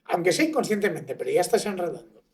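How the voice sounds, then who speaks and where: Woman host, lower voice, frank and informal interviewer on a podcast mic. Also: sing-song, fast. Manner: frank, informal, says tío. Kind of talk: casual interview chat.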